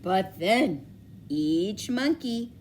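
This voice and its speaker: A woman reading a children's story aloud expressively, with dramatic emphasis and drawn-out words. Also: medium pitch, expressive, darkish tone, a little hiss or hum. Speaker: storybook reader for schoolchildren.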